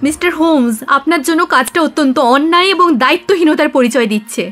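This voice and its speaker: A woman's voice: high voice, fast, fairly bright tone, soft background music. A woman playing a proud upper-class lady in a radio drama, theatrical, agitated and defiant. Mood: indignant, anxious.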